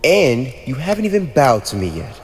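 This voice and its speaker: A man's voice: medium pitch, very animated, husky, soft background music. A man voicing a haughty, teasing teenage demon lord with a playfully cruel streak, in an ASMR roleplay addressed to the listener. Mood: imperious. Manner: haughty, teasing, theatrical, with drawn-out pauses. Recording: long reverb, audible background noise.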